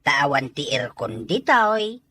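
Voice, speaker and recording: A woman playing a teasing, hospitable older country man in an audio drama, with a drawn-out, coaxing delivery. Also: sits low, sing-song, pushed and bright, full character voice. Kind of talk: Ilocano audio drama acting.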